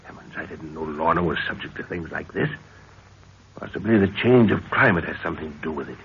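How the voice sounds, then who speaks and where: A man's voice: rumbling low, fairly quick, creaky, breathy edge, heavily acted. An actor playing an older professor and father, blustery, paternal and insistent, in a 1930s radio drama. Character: older professor father, dismissive, eagerly obsessed with mysteries. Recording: dull, noisy 1930s radio recording.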